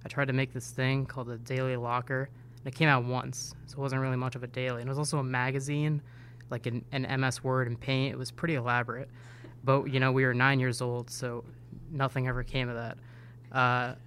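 A man's voice: mid-range voice, fairly bright tone, slight background noise. A man in his twenties from upstate New York, a newspaper intern talking casually on a podcast with many ums and uhs. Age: 20s. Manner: hesitant, filler-heavy speech.

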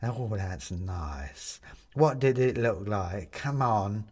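Man voicing a slow, coaxing, sinister plaster skeleton. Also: on the low side, lively intonation, darkish tone. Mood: coaxing, prying. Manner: drawn-out, coaxing, relishing words. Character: sly skeleton of plaster, feigning soothing friendliness, male.

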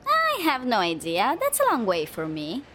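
A woman acting an easygoing character in casual, unhurried audio drama chat. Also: on the high side, wildly swooping pitch, fairly bright tone, a little hiss or hum. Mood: casual curiosity. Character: easygoing woman idly chatting about last days.

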